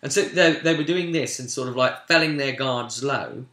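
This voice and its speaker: A man hosting a role-playing vidcast as game master, casually recapping a tabletop session in an animated storytelling way with sudden emphatic swells. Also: medium pitch, moderate pace. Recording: roomy, echoing room sound.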